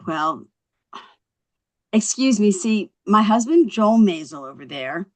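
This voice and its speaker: A woman at a script table read playing a quick-witted young housewife, chatty, coaxing and wry. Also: medium pitch, animated, darkish tone. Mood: polite, persistent.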